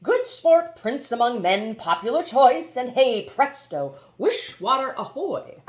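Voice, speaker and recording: A woman's voice: a little high, very animated, slow, reverberant, pitched above the performer's own voice. Woman as a wordy, fussy male water genie. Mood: relieved, jubilant. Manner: wordy, coaxing, piling up phrases. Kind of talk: storybook character voice.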